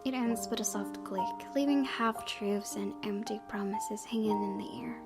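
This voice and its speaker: Female gaming YouTuber, mid-pitched, narrating a visual novel with casual comments, clear music underneath. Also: fairly even intonation, normal speed. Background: background music.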